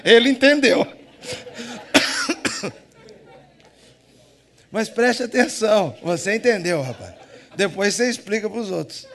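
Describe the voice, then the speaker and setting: A man with a Minas Gerais Brazilian accent, preaching a humorous sermon in church, jumping between loud emphatic lines and soft asides. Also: high-pitched, lively intonation, slow pace, pauses often, a faint crowd. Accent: Minas Gerais Brazilian Portuguese.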